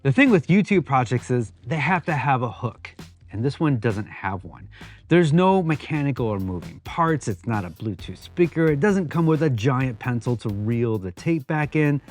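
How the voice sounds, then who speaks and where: A man's voice: mid-range voice, animated, normal speed, soft background music. A man hosting a woodworking vlog, explaining things in an informal, plain-spoken way. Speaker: host of a woodworking channel. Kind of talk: casual workshop vlog narration.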